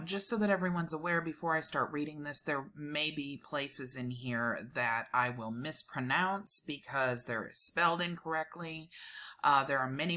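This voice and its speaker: Low, bright-voiced woman reading aloud. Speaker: host reading a document aloud. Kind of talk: dramatic reading with informal asides.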